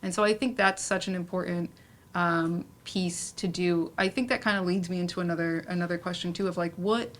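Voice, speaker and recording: A woman's voice: fairly low pitch, fairly even intonation, a little fast, a little hiss or hum. A woman moderating an informal panel discussion in a conversational way, correcting herself as she goes. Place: indoor library event room.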